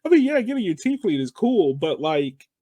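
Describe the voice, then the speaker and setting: A man's voice: high-pitched, sing-song, normal speed, dark tone, no echo. A man hosting a podcast, chatting informally and jokingly with a guest in a casual interview style. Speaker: podcast host.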